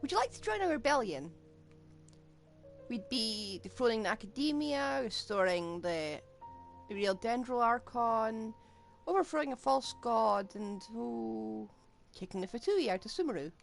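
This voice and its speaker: A woman's voice: on the high side, animated, a little slow, vocal fry. A woman giving casual, wry, joking commentary while streaming a video game. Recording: game music behind.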